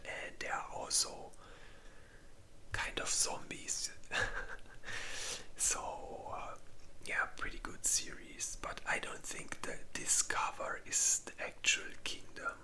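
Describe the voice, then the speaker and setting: A breathy, unvoiced whisper in a casual ASMR style, hesitant and informal, giving opinions close to the microphone. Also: sharp tone. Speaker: ASMR creator reviewing zombie movies.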